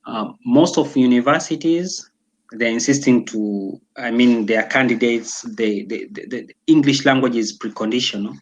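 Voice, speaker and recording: A young man from Tanzania talking casually as an interview guest, pausing often with fillers and restarts. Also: mid-range voice, muffled recording. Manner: hesitant, many fillers and restarts.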